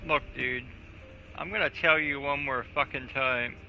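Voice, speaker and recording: A very bright, sharp male voice cracking crude, offhand jokes while he plays a game on stream. The mic sounds dull and lo-fi, with game music faint underneath. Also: mid-range voice, steady tone, unhurried. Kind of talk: casual gaming livestream chat.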